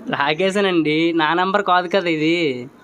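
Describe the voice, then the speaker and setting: A man with a slightly high voice makes a flirtatious phone call. He speaks politely and coaxingly, and clear background noise runs through the recording. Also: a little slow, a bit bright. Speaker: prank caller.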